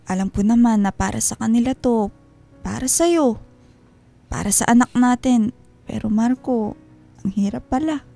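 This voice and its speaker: A woman's voice: fairly high pitch, normal speed, creaky, pauses often, mellow, soft background music. A woman playing a loving, homesick mother in a radio drama, speaking tenderly and plaintively. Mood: longing, sad. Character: loving, homesick mother working abroad, talking to her husband. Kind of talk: emotional radio drama dialogue.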